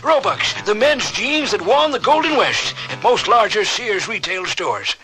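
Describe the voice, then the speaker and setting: An actor playing a folksy, emphatic old-timer cowboy in a radio commercial. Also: male voice, sits high, sing-song, measured pace, bright, forceful tone, full character voice, low-quality recording. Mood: folksy, proud. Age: older.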